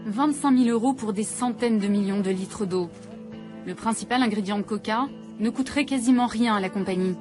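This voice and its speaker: A woman's voice: medium pitch, a little fast, over music. A woman from France, a journalist, reading scripted first-person narration for a documentary.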